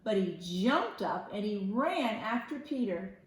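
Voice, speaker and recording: A woman reads a picture book aloud for young children in an expressive storytelling style. Her phrasing is deliberate, with simple asides to explain the tale. The room rings with a clear echo around her voice. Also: mid-range voice. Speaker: school media specialist reading aloud to children. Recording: echoey room.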